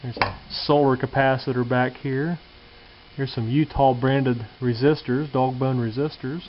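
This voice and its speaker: A man with an average-pitched voice talks casually, like a hobbyist showing his project. His manner is folksy, thinking out loud as he goes. The sound is dull and lo-fi, with a light hiss behind him, as from a handheld camera in a workshop. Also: fairly even intonation, measured pace. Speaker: hobbyist presenter. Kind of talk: casual hands-on show-and-tell commentary. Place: indoor home workshop or garage. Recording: dull lo-fi camcorder audio with hiss.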